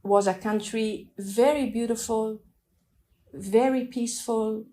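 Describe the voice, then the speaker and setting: A middle-aged woman from Afghanistan with a French accent, thoughtfully telling her own story of migration with pauses and restarts. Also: on the high side, fairly even intonation. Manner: thoughtful, soft-spoken, pauses and restarts while recalling. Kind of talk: reflective personal storytelling.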